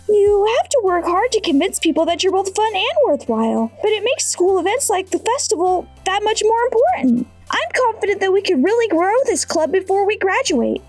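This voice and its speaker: A woman playing a poised teenage club president with a very high, lively voice and a dry, close sound. She talks confidently and reassuringly, with faint music underneath. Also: dry recording. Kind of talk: fan dub of a visual novel.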